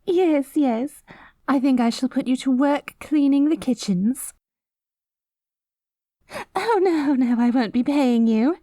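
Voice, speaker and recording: High, pompous, vain queen. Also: slow pace, pauses often, mellow, dry recording, studio quality. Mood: smug, condescending. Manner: pompous, theatrical, mock-archaic diction. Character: haughty, vain, self-righteous good queen, secretly cruel. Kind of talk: scripted fantasy audio roleplay.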